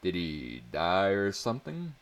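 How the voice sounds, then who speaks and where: A man's voice: low voice, wildly swooping pitch, a little slow, heavily acted. A man voicing an awkward, self-doubting teenage schoolboy with a hesitant manner in a story reading. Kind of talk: dramatic audiobook reading.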